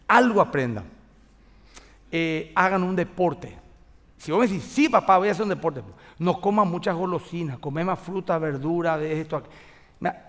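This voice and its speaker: Animated Paraguayan pastor preaching in a hall. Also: male voice, high-pitched. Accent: Paraguayan Spanish. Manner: animated preaching with acted dialogue and gestures. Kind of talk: sermon. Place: large church stage with live congregation. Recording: church hall reverb.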